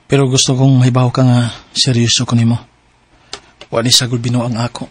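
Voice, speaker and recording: A man's voice: mid-range voice, flat delivery, a little fast, slightly rough, lightly acted. An actor playing an earnest young man courting a woman in a radio drama, speaking sincerely and conversationally.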